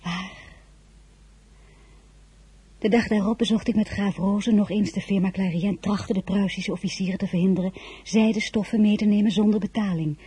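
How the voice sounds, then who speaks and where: An actress playing a tender, emotional royal wife in an audio drama, with a soft, breathy voice and an intimate way of speaking. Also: female voice, mid-range voice, fairly even intonation, moderate pace. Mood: tense, reflective. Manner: intimate, hushed, feeling-laden. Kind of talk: audio drama acting with first-person narration.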